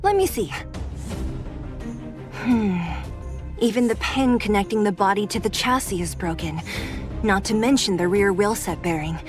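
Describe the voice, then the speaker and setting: A woman's voice: mid-range voice, lively intonation, unhurried, long pauses, close to an everyday voice. A woman voicing a tough, blunt, confident young fighter in a video game, wry and self-assured. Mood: confident, frustrated. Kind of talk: video game cutscene acting. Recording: light music behind the voice.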